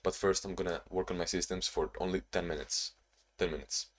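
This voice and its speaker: A young man with a very low voice talks to his camera in a loose, rambling way, often restarting his sentences. He is from Spain and Ukraine, is not a native English speaker, and sounds tired from little sleep. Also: fairly even intonation, brisk. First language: Spanish or Ukrainian. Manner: rambling, self-reflective, frequent restarts. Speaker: vlogger. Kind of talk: casual vlog talking to camera.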